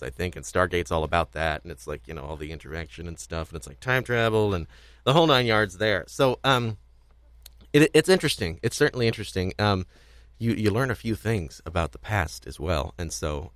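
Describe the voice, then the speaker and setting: Male podcast host. Low pitch, dry close sound, casual rambling delivery with hesitations. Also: a bit bright, no room sound. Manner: rambling, hesitant, repeats himself.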